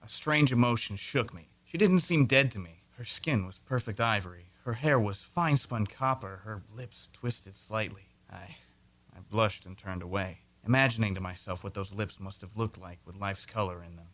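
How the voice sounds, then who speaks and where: A man's voice: lowish voice, moderate pace, fairly bright tone, natural voice. An actor playing a young male newspaper reporter, narrating in the first person in an old-time radio drama. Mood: tense.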